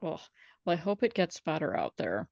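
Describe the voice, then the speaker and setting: A woman's voice: low voice, very animated, normal speed, creaky, a little mellow, dry recording, lo-fi sound. A woman hosting a casual remote talk show, chatty and encouraging with her guest. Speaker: host. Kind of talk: casual chat.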